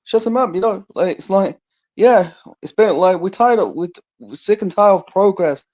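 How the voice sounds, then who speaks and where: A man's voice: high-pitched, expressive, normal speed, a little mellow. A man calling in to a live show, laid-back and rambling, with lots of fillers. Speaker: caller. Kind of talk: casual chat.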